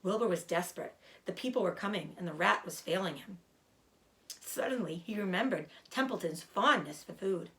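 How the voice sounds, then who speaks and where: A woman reading a children's book aloud as an expressive storyteller. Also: lowish voice, moderate pace, low-quality recording.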